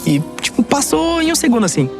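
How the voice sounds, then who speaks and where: A man's voice: very high voice, sing-song, brisk, over loud music. A man with a Brazilian accent, a pro player talking in informal slang in a documentary interview. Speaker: professional esports player.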